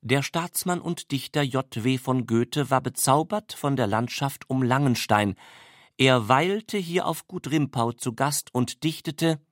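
A man reading scripted literary prose and citations in a deliberate, formal way. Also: medium pitch, dry recording, clean studio sound. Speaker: reader of quoted documents.

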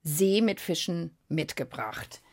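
A woman's voice: on the low side, lively intonation, slow, darkish tone. A woman hosting a children's science podcast, explaining and asking questions in playful banter addressed to young listeners.

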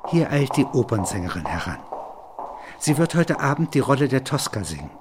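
A man narrating literary and philosophical reflections in measured, deliberate phrases. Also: mid-range voice, big pitch swings, background noise.

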